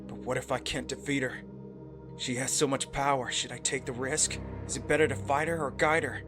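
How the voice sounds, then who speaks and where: A man playing a solemn, protective young demigod hero in an anime visual novel, in an earnest, deliberate way. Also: mid-range voice, fairly even intonation, close to an everyday voice. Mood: brooding. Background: background music.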